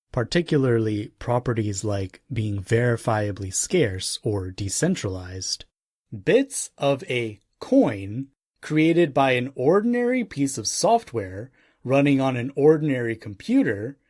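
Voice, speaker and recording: A man narrates a nonfiction audiobook in a dry, close recording. His delivery is slow and deliberate, with lively pitch movement that sets off each key term. The voice has a husky edge. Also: no room sound. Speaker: audiobook reader.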